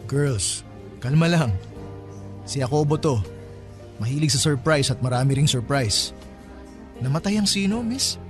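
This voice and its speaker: A man's voice: medium pitch, expressive, unhurried, pauses often, soft background music, lightly acted. A man playing a polite but flustered peacemaking eldest son in his late twenties in a Filipino radio drama. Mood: calming, polite.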